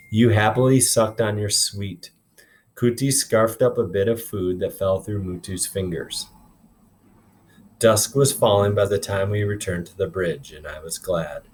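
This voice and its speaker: A man with a fairly low voice reads aloud in the role of a teacher. He narrates expressively and slips into informal, instructive asides to explain things. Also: darkish tone. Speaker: teacher reading aloud to a class.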